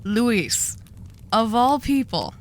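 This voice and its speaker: A woman playing a commanding, sharp-tongued mafia boss in an audio roleplay drama, dry, curt and wry. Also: a little high, sing-song, extremely slow, full character voice. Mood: exasperated, teasing.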